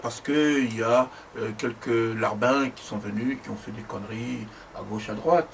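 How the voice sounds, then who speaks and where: A man's voice: normal speed, gravelly, slight background noise. A man from the DR Congo in a casual conversation who talks emphatically and bursts into exclamations. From Democratic Republic of the Congo. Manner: emphatic, exclamatory, jumps high when excited. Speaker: conversation partner. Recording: distant mic with faint ambience.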